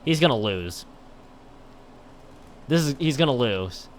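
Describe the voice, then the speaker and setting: A man's voice: medium pitch, animated, takes it slowly, slight background noise. A man livestreaming a visual novel, reading it aloud with stumbles and breaking in with casual, exclaimed reactions. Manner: stumbles over read text, exclaims and interjects his own reactions. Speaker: game streamer.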